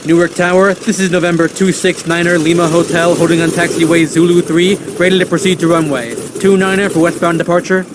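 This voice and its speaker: A man's voice: on the high side, level tone, brisk, hardly any pauses, noisy background, natural voice. A man playing a tense, blunt private-plane pilot in an audio drama, speaking tersely. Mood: businesslike. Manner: terse, radio jargon. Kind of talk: naturalistic audio drama acting.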